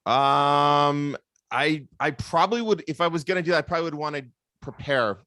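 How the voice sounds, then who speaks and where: A man's voice: fairly high pitch, normal speed, sharp tone. A man casually introducing himself on a video call, speaking in hesitant, stop-start phrases. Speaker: actor and filmmaker.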